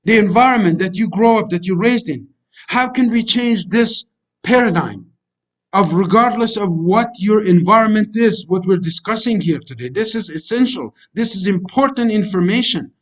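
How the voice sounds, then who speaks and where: A man's voice: sits high, lively intonation, normal speed. A middle-aged man whose native language is Kurdish, lecturing as a life coach in a preachy, repetitive way and calling the listeners friends. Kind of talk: motivational voice-over lecture over slides.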